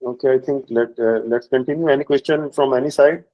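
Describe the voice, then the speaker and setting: A man explaining software deployment steps in a workshop, pausing often with fillers. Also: medium pitch, steady tone, highs cut off. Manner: hesitant, many filler pauses. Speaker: workshop instructor.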